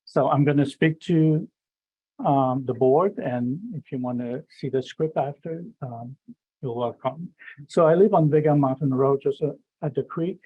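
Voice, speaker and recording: Guy with a low husky voice, halting public comment at a town meeting, sounds tinny like over a phone. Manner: hesitant, many ums and restarts. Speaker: resident speaking at public comment.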